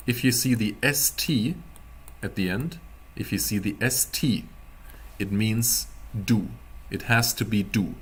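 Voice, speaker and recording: Male language tutor for live-streamed class; slightly low, rough-edged voice, casual and deliberate, spelling things out word by word. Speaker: German teacher.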